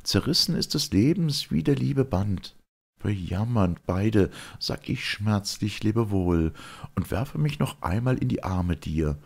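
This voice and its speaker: A man's voice: lowish voice, lively intonation, a little slow, vocal fry, darkish tone, husky, full character voice. A man voicing a sorrowful, resigned noble queen and mother, lamenting solemnly in verse. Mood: grieving.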